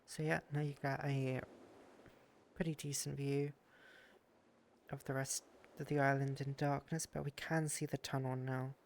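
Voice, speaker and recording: A man with a cold chats through a live stream, his voice stuffy, gravelly and fairly flat in tone. He muses aloud while exploring and thanks viewers as he goes. Faint room noise sits behind him. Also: mid-range voice, measured pace, long pauses. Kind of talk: casual streaming chat while exploring a game world.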